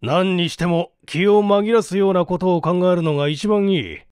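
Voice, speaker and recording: A man's voice: sits high, moderate pace, a little mellow, smooth, no room sound, clean studio sound, lightly acted. A man voicing a curt, condescending but gullible male prison guard in a dramatised audiobook, blunt in manner. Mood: curt interest.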